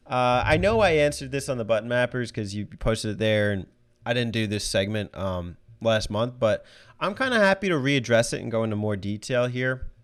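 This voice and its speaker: A man's voice: mid-range voice, expressive, normal speed. A man hosting a voicemail segment, giving casual video game commentary in a conversational way, thinking aloud as he goes. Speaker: host answering listener voicemails.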